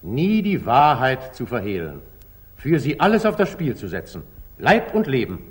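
An actor playing a commanding, probing medieval sultan in a declamatory 1940s German radio drama style. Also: male voice, mid-range voice, wildly swooping pitch. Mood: eager, solemn. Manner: commanding, declamatory, deliberate phrasing.

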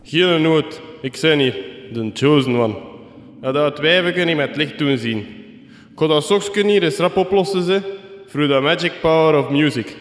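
An actor playing a cocky, goofy self-proclaimed hero with musical powers in a radio comedy drama, boastful and hammy. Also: male voice, fairly high pitch, normal speed, close to an everyday voice. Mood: cocky, heroic. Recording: echoey hall, guitar music behind.

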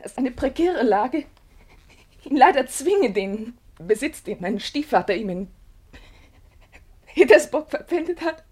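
A proud, wounded young gentlewoman in a radio drama. Her high voice swings widely in pitch, with bitter, volatile and theatrical delivery. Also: very animated, a little slow, pauses often, husky, full character voice. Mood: tense, scornful. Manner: theatrical, emotionally charged. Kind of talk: German radio drama acting.

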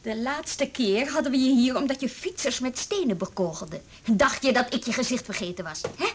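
A woman's voice: fairly high pitch, normal speed, slight background noise, close to an everyday voice. An actress in a radio drama playing a stern, sarcastic policewoman, brusque and commanding as she questions a suspect. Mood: hard, pressing. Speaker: police interrogator. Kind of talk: Dutch radio drama acting.

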